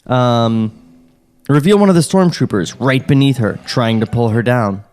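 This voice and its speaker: A man's voice: mid-range voice, normal speed, a little mellow. A man reading screenplay stage directions on a comedy podcast, breaking into casual banter. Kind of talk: comedic table read, narration with casual banter. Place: podcast studio.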